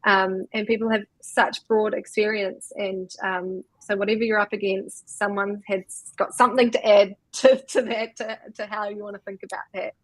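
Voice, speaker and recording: A woman's voice: medium pitch, flat delivery, moderate pace, no echo, low-quality recording. A woman co-founder from New Zealand answering interview questions in a reflective, casual way, with many fillers. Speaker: co-founder and co-CEO, guest.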